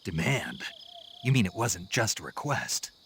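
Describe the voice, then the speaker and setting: An actor playing a terse soldier-spy reporting in over the radio in a game cutscene. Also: male voice, on the low side, sing-song, unhurried, a bit bright, slight background noise. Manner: terse, dry replies. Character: terse, gruff male soldier-spy in the field. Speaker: field agent. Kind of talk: video game cutscene acting.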